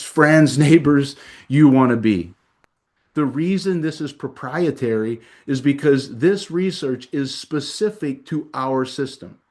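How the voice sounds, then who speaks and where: A man, a doctor, delivering a scripted promotional presentation in a measured, emphatic reading style. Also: fairly high pitch, a little mellow. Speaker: doctor presenting a health program.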